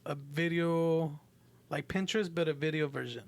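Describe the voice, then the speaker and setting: A man's voice: a little high, moderate pace. A young man speaking casually and with slang as a regular on a group podcast.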